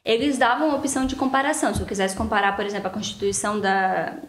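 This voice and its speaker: A woman's voice: mid-range voice, fairly even intonation, fast, slight background noise. A Brazilian woman with a Brazilian Portuguese accent, a lawyer telling long rambling stories as a podcast guest. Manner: rambling storyteller, full of "então, assim" fillers. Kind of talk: casual interview chat. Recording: echoey room.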